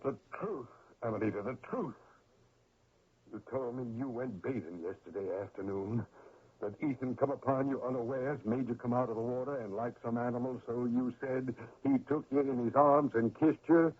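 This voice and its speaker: Actor as a husky old backwoods father, thin muffled sound. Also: mid-range voice, normal speed, close to an everyday voice. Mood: accusing. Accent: rural American. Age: older. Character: grieving old backwoods father, stern, accusing, vengeful. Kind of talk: 1970s radio drama acting. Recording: muffled, phone-like old radio recording.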